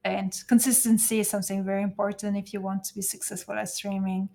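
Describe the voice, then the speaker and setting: A woman from Belgium with a French accent, a native French speaker, chatting easily as a podcast guest and often laughing or pausing to find her words. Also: medium pitch, flat delivery, vocal fry, dark tone. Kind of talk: casual interview chat.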